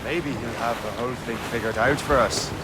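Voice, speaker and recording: An actor playing a bold, cocky young sailing captain in a video game, shouting orders and talking sardonically. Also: male voice, mid-range voice, steady tone, brisk, heavy background noise, lightly acted. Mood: sardonic. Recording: loud music and sea behind.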